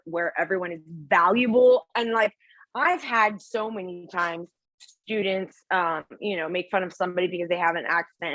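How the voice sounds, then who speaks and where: A woman instructor teaching a test prep class, lively and asking the class questions as she explains with examples. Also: mid-range voice, dry recording, poor audio. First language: English.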